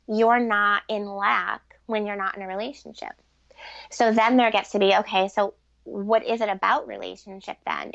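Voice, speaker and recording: Female relationship expert, mid-pitched with noticeable vocal fry, earnest and fluent in interview; dry but dull sound. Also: fairly bright tone, no room sound. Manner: earnest, fluent, long explanations. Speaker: guest relationship expert and author. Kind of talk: conversational explanatory interview answers.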